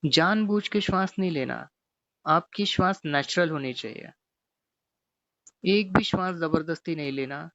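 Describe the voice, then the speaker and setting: A man teaching guided meditation, giving gently repetitive, step-by-step instructions. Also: on the high side, unhurried, long pauses, dry recording, highs cut off.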